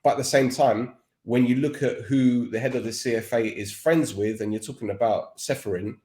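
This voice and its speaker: A man's voice: medium pitch, moderate pace, darkish tone, echoey room. A man with a Cockney accent, a podcaster talking as a guest expert in a casual, rambling interview chat. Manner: chatty, rambling with restarts.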